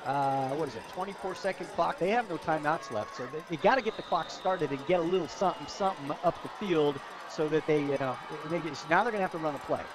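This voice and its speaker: A man's voice: a little high, fast talker, hardly any pauses. A man with an American accent giving live football color commentary in a conversational, folksy way. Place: outdoor football stadium broadcast.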